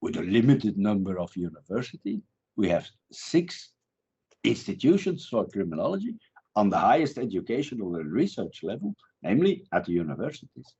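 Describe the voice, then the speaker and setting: A man's voice: on the low side, moderate pace, breathy edge. A man in his fifties from Belgium, a Dutch speaker, answering interview questions as a guest professor, restarting phrases as he thinks. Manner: animated, repeats words while thinking. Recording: dull lo-fi recording.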